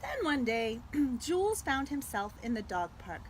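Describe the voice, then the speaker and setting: An American woman, a school librarian, reading a picture book aloud to young children in an expressive way. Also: high-pitched, measured pace. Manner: animated, expressive delivery aimed at young children. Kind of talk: read-aloud storytelling for children. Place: at home. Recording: noisy home video with background sounds.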